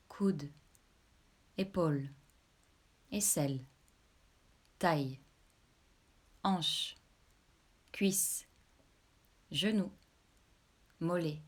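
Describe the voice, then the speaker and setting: A woman leading a guided relaxation meditation as a yoga nidra instructor, giving calm, measured instructions. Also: on the low side.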